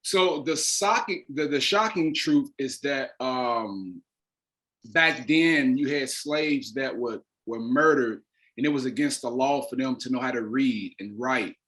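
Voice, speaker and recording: A man's voice: medium pitch, a little slow, fairly bright tone, poor audio. Earnest man explaining himself as interview guest. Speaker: interview guest, playwright and actor.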